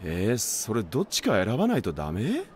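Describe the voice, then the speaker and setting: Soft-hearted teenage boy, very animated. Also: lowish voice, fairly quick. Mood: protesting. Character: soft-hearted, timid big teenage male athlete. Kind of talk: Japanese anime radio drama acting.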